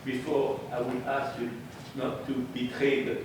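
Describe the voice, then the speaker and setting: A man's voice: mid-range voice, completely flat delivery, unhurried. An older man from Belgium, with a Dutch-French Belgian accent, telling his wartime eyewitness story to an audience with emphatic, wry humor. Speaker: eyewitness speaker. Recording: distant mic, echoey room, audible noise.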